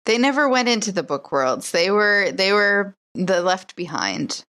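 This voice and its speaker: A woman's voice: medium pitch, animated, a little slow, fairly bright tone, smooth. A woman playing a character in a tabletop roleplaying podcast, talking in character. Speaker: player in an actual-play podcast.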